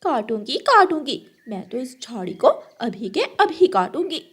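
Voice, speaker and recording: Woman voicing a haughty, spiteful mother crow, high-pitched. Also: very animated, measured pace, a bit bright, breathy edge, exaggerated voice. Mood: defiant. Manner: haughty, scolding. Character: stubborn, spiteful mother crow, scornful bully. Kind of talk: Hindi moral cartoon character acting.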